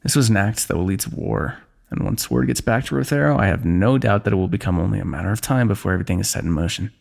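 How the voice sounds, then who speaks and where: ASMR fantasy roleplay: male actor as a war-weary, dryly teasing fighter, deep-set voice with heavy creak, formal diction. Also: quick pace, a little mellow. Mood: grave, worried. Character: weary, wry fantasy warrior plotting against a kingdom. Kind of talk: ASMR fantasy roleplay, one-sided dialogue.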